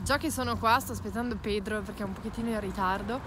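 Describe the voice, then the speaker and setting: A woman in her twenties chatting excitedly as she vlogs outdoors on city streets, holding her phone at arm's length. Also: mid-range voice, fast talker, noisy background. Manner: chatty, excited, mixes English words. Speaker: vlogger. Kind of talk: casual travel vlog. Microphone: phone held at arm's length.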